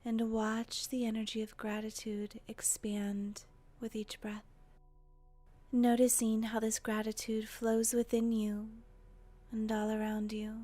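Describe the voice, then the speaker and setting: Woman, meditation guide, mellow breathy tone, slow pace, gentle delivery, long pauses. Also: on the high side, completely flat delivery. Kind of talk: guided meditation, soothing narration.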